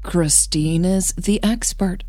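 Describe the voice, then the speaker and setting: Woman playing a guarded, husky-voiced lover. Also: on the low side, slow, mellow, no echo. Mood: curt, upset. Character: Hannah, guarded, easily wounded woman in love. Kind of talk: audio drama dialogue.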